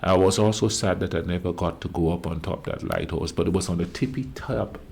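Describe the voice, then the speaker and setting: A man in his fifties from the Caribbean, reacting to a travel video in a slow, musing way with drawn-out fillers. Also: low voice, steady tone, creaky, a little mellow, a little hiss or hum. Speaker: reaction channel host. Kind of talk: casual reaction commentary.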